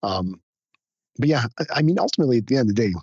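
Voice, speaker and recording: A hoarse man who is a guest on a podcast, chatting modestly with frequent restarts. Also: mid-range voice, very animated, racing, a little mellow, no echo, highs cut off. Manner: modest, hesitant, many restarts. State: hoarse, recovering from illness. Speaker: podcast guest, hobby photographer. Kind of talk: casual interview chat.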